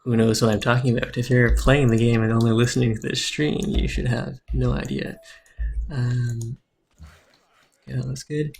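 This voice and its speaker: Soothing-voiced man calmly narrating his game. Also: mid-range voice, normal speed, gravelly, a little hiss or hum. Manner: calm, explaining his moves as he plays. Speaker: game streamer. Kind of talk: live game commentary.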